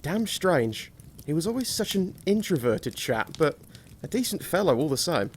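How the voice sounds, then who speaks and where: An actor playing an earnest, protective young English gentleman, formal and sombre, in an audio drama. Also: on the high side, very animated, normal speed, a little hiss or hum. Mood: concerned. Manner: formal, old-fashioned, sombre. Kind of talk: theatrical audio drama acting with narration.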